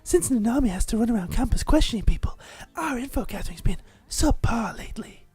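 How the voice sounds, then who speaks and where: A woman's voice: fairly high pitch, expressive, a little fast, dark tone, faint music behind, close to an everyday voice. A woman playing a perky, pleading teenage schoolgirl in a visual novel game. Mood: eager, pleading.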